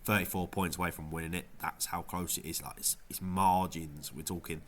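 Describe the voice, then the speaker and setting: Low-voiced man with a nasal cold. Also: fairly even intonation, a little fast, slight background noise. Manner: rambling, lots of ums and restarts. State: has a cold, sounds nasal. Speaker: fantasy football video creator. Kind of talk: casual gameweek review vlog.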